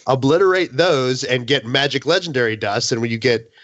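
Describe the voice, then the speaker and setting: A man's voice: mid-range voice, brisk, fairly bright tone, no echo, lo-fi sound. A man in a casual gaming podcast discussion, speaking in an emphatic, opinionated and hyperbolic way. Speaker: podcast panelist.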